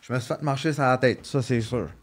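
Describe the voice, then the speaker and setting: A man's voice: medium pitch, fairly quick. Joking, rambling Québécois man. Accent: Québécois French. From Quebec. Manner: joking, rambling, repeats himself. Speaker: guest. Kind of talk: casual interview storytelling.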